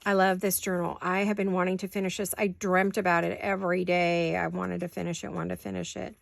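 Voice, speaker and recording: Chatty crafting woman with a gravelly voice. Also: on the low side, level tone, fairly quick, no echo, studio quality. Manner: chatty, thinking aloud to viewers. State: sore throat, sniffling. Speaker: craft vlogger. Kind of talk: casual crafting chat. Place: home craft room. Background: scissors and crafting clicks.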